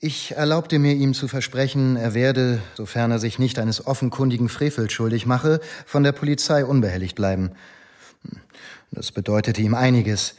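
An actor playing a male police inspector in a radio drama, deferential yet self-assured, speaking formally and in a measured, self-justifying way. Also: medium pitch, darkish tone, close to an everyday voice. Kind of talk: German radio drama dialogue.

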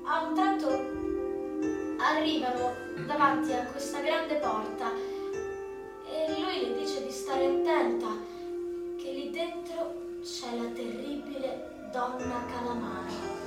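Actress playing a high-voiced, flustered young saleswoman in echoey room. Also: slow, long pauses. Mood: wistful. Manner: chirpy sales patter with muttered asides. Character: eager, flustered young woman telemarketer, precarious aspiring actress. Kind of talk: theatrical radio comedy monologue. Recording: echoey room, distant mic, music behind.

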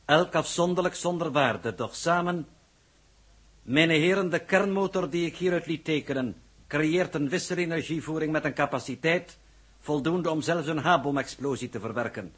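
An actor playing a confident male engineer in a 1960s Dutch radio drama, lecturing with deliberate assurance. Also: a little high, a bit bright, husky. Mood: assured, lecturing. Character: confident male engineer presenting a bold invention.

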